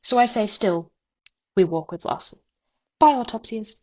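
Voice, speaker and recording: A woman's voice: mid-range voice, lively intonation, normal speed, mellow. A woman giving prepared commentary as a listener's voice submission to a podcast. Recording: dull, lo-fi voice message.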